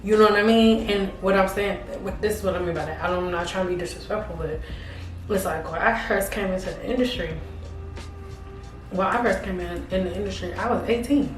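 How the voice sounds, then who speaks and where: A woman's voice: mid-range voice, brisk, echoey room, recorded from a distance, soft background music, rough recording. A woman in her thirties talking casually to her camera, chatty and rambling with lots of fillers. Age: 30s. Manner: chatty, repeats herself, frequent fillers. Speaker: vlogger.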